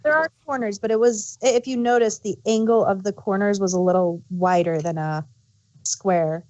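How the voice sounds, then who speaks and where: A woman's voice: medium pitch, moderate pace, mellow, dull audio. A woman co-hosting a casual fan discussion, explaining things in a hesitant way and often correcting herself mid-thought. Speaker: podcast co-host.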